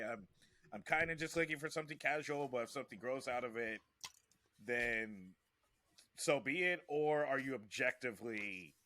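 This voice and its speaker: A man's voice: medium pitch, fairly bright tone, a little hiss or hum. A man hosting a casual conversational podcast, often restarting his phrases as he works toward his point. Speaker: podcast host.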